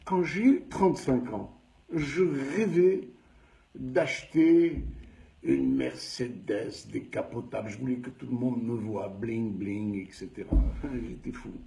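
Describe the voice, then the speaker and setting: A man in his sixties with a Tunisian French accent, telling his life story slowly, stressing each step. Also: mid-range voice, gravelly. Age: 60s. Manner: slow, measured recounting with lively emphasis. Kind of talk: personal storytelling monologue.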